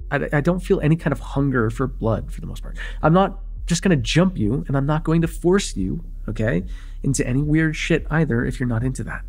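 Man acting a breathy, cocky young male vampire. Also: medium pitch. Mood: reassuring. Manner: rambling, playful, slangy, hesitant asides. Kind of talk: immersive ASMR roleplay acting, casual and conversational.

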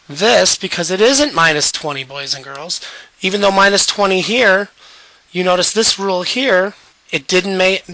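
A man walks young students through a math lesson, patient and step by step. His voice is worn and fading, yet it sits high and sounds bright. The recording is dry, with no room sound. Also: dry recording, poor audio. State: losing his voice. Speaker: math teacher.

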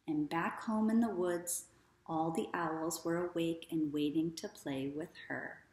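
A woman in her thirties reading a children's story aloud in a deliberate, expressive way. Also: low-pitched. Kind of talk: children's read-aloud narration. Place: small living room, indoors. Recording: echoey living room.

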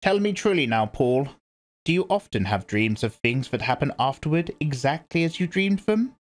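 A man reading aloud and voicing a stern, commanding old woman, a religious elder, in a sharp and lecturing manner. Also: a little high, big pitch swings, normal speed, pitched above the performer's own voice.

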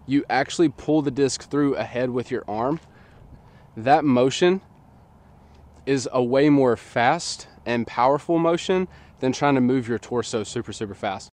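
A young man in his twenties, slightly nasal from a sinus infection, explaining a sports technique in a relaxed, chatty way outdoors, recorded by a camera at a distance in an open field. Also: mid-range voice, measured pace. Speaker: disc golf coach. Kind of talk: casual instructional talk. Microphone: camera on a tripod at a distance.

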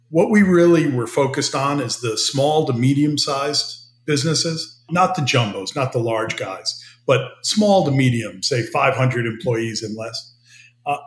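A middle-aged American man, a company CEO, explaining his business in a measured way as a podcast guest. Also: mid-range voice, a bit bright. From United States. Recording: roomy remote audio.

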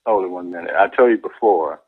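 A man's voice: low-pitched, brisk, slightly rough, narrow, tinny audio. A man, a church deacon, arguing on the phone in a defensive, firm, repetitive way. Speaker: church deacon, prank call victim. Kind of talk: indignant phone argument.

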